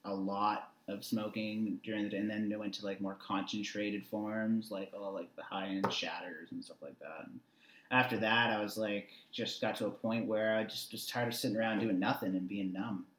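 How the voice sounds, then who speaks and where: Man in his twenties, echoey room, casual storyteller, animated, repetitive for emphasis. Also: lowish voice, normal speed. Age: 20s. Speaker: interview guest.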